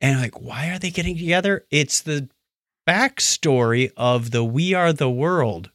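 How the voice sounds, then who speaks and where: A man's voice: on the high side, a little slow, dry recording, studio quality. A man co-hosting a podcast, chatting casually about shows and telling stories with acted-out quotes. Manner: animated storytelling with acted-out quotes. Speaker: podcast co-host.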